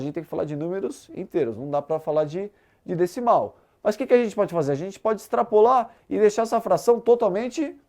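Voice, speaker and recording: Casting: Brazilian man as an exam-prep teacher, soft-edged mellow timbre, very varied intonation, didactic delivery. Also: sits high. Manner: didactic, checks understanding with short tag questions. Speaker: math teacher. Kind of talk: explanatory exam-prep lesson.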